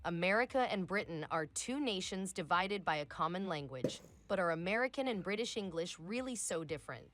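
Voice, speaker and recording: A woman reading a scripted English lesson in a clear, measured teaching voice. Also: lowish voice, animated, background noise.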